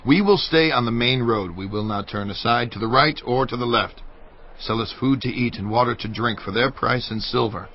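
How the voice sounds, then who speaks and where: A man playing a measured envoy petitioning a king for peace in a dramatized scripture reading. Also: mid-range voice, husky, lo-fi sound, natural voice. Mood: diplomatic request.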